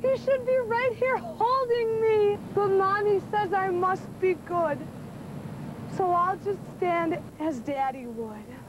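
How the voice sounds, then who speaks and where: A sixteen-year-old young woman playing a brave, grieving little boy in a plaintive dramatic verse monologue. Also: highs cut off. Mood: tearful. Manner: slow, plaintive recitation. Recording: noisy outdoor camera recording with rumble.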